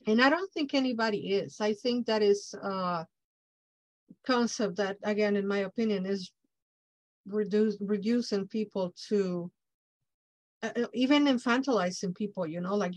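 A woman's voice: mid-range voice, no room sound. Mexican-accented woman host, dry close sound. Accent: Mexican Spanish. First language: Spanish. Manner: effusive, enthusiastic. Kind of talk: interview chat.

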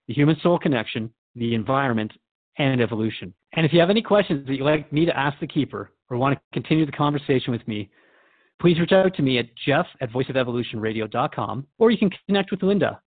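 A man's voice: medium pitch, lively intonation, fast, narrow, tinny audio, lightly acted. A man playing a curious, respectful radio host in a radio drama, interviewing in an inquisitive, conversational way. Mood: gracious, wrapping up.

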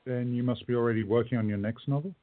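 A man playing an earnest, guarded gentleman in a radio play, speaking formally. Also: medium pitch, fairly even intonation, quick pace, no room sound, close to an everyday voice. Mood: courteous. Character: Joe, earnest, guarded gentleman time traveller. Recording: dull lo-fi, music behind.

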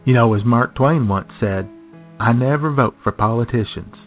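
A man co-hosting a radio show, trading sardonic political jokes in a halting, casual way. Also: medium pitch, soft background music. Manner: sardonic, jokey, halting with fillers. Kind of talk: political comedy chat. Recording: phone-like lo-fi sound.

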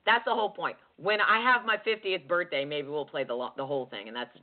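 A woman co-hosting a radio talk show, chatting warmly and laughing often. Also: low voice, quick pace. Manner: warm, effusive, laughs often. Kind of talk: casual radio chat. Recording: phone-like narrow band.

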